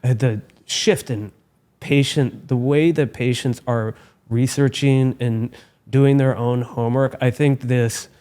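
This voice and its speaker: A man who founded a skincare company, talking as a guest in a measured, earnest way and often restarting his phrases. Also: mid-range voice, steady tone, mellow. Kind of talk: casual interview chat.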